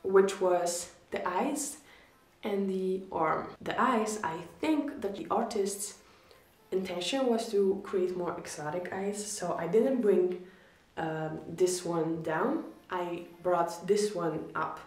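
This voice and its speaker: A woman's voice: lowish voice, takes it slowly, darkish tone. Polish woman critiquing art, roomy room. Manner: chatty, encouraging, repeats "really". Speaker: art YouTuber reviewing viewer drawings. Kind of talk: casual instructional talk to camera. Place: small indoor room against a plain wall.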